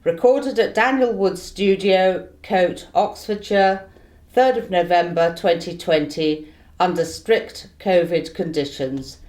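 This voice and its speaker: A woman's voice: fairly low pitch, takes it slowly, a little mellow. A woman reading a scripted announcement as an announcer.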